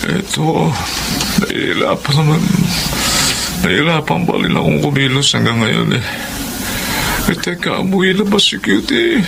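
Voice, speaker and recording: A man's voice: fairly high pitch, lively intonation, moderate pace, creaky, pauses often, background noise. An actor playing a sick, tender father in a radio drama, sounding weary and affectionate. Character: ailing, tender older father. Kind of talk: classic radio drama acting. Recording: music playing under voice. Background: music.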